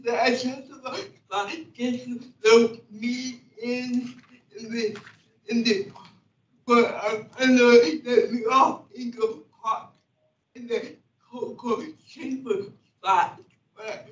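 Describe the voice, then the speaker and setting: A woman speaking slowly during public comment at a meeting, halting and repeating words. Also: on the high side, fairly even intonation. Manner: slow and halting, with many repeats. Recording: echoey, distant, muffled meeting audio.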